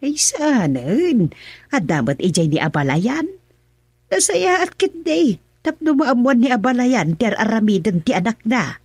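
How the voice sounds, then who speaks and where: A caring middle-aged mother , played by a woman, with a husky, breathy voice and an Ilocano accent. Her pitch rises and falls freely, and she sounds soothing and motherly in a dry, close recording. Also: very animated, unhurried, darkish tone, no room sound, exaggerated voice. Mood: comforting. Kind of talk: radio drama acting.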